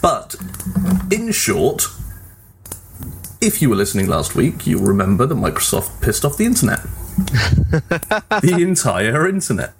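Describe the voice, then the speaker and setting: A man's voice: medium pitch, sing-song, a little slow, pauses often, noisy background. A man chatting casually as a podcast host, with a wry, pedantic way of putting things. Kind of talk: casual gaming podcast chat.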